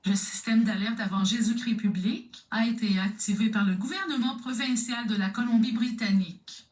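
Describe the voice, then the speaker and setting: Distant, echoing woman announcing an alert. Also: a little high. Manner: measured, impersonal. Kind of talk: formal scripted emergency announcement. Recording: echoey, distant playback.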